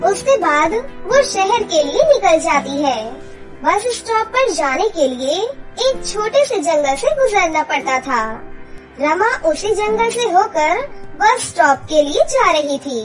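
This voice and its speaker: A woman's voice: extremely high pitch, sing-song, slow. A woman reading scripted narration for a children's story. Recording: clear music behind the voice. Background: children's music.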